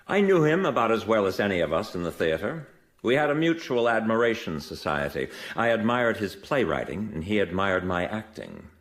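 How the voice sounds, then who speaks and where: An actor playing a haughty, formally spoken stage actor in a radio mystery, with precise and theatrical diction. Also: male voice, on the low side, sing-song, moderate pace. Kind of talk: radio mystery drama acting.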